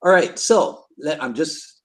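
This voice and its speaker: A man from Barbados, hosting a casual live-stream chat, jokey and quick to laugh, often repeating words as he goes. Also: on the high side, expressive, a bit bright. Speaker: podcast host.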